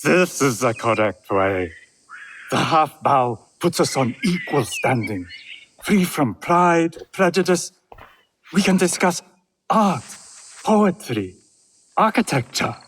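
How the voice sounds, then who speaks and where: An actor playing a serene older Japanese tea master in a game cutscene, with gentle, deliberate, measured phrasing. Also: male voice, fairly high pitch, sing-song, slightly rough, exaggerated voice. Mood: patient, instructive. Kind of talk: video game cutscene acting.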